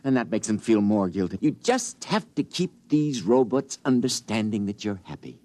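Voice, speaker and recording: An actor playing a fussy, earnest psychiatrist for robots in a 1950s science fiction radio drama. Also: male voice, on the low side, sing-song, normal speed, mellow, no room sound. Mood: earnest concern. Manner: hesitant, earnest explaining.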